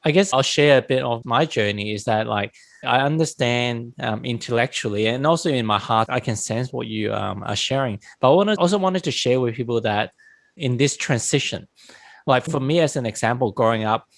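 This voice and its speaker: A man from Hong Kong hosts a relaxed conversation, leaning on "like" and "um" as he thinks aloud. His voice sits at a middle pitch for a man, and the recording is dry and close. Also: fairly quick, creaky, no room sound. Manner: casual, many "like" and "um" fillers. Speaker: host. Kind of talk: casual interview conversation.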